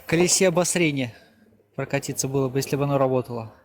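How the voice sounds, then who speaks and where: A man's voice: mid-range voice, fast talker. A man chatting casually as a companion on a travel vlog, recorded outdoors. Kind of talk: casual chat. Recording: clear outdoor noise, animals and birds.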